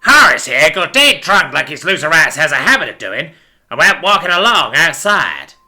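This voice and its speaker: A man's voice: a little high, very animated, normal speed, sharp tone, soft background music, voice pushed up, heavily acted. A man voicing a callous, corrupt pig policeman in a story, with crude, folksy, scolding speech. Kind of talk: dramatic storybook character voice.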